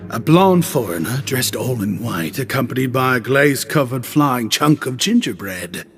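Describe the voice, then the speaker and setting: A man voicing a flattering young shopkeeper who talks in an effusive, ingratiating way. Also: medium pitch, normal speed, lightly acted. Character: flattering young male shopkeeper, smooth talker. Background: game music.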